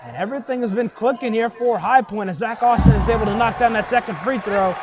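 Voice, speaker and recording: A young man giving excited, projected live radio play-by-play of a basketball game. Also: very high voice, quick pace, lo-fi sound, over music. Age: young adult. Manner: excited, projected, often shouting calls. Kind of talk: live radio sports commentary. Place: courtside in a basketball arena. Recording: loud crowd and gym noise behind. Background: crowd, bouncing ball, gym noise.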